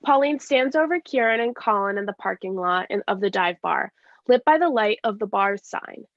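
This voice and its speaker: A woman's voice: medium pitch, lively intonation, moderate pace, dry recording. A woman reading scripted stage directions as narration, plainly and step by step, with slight stumbles. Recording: dry, slightly dull lo-fi sound.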